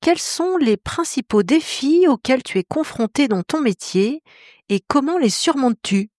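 Higher-pitched woman hosting, polite and presenter-like. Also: expressive, measured pace, a little mellow, dry recording, studio quality. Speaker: podcast host, interviewer. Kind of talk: scripted podcast interviewing.

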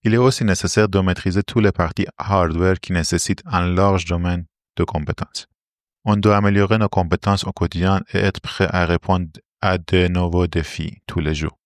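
Low-voiced Iranian-accented man, formal and explanatory. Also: steady tone, moderate pace, dry recording, clean studio sound. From Iran. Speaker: interview guest, robotics R&D engineer. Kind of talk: prepared interview answers.

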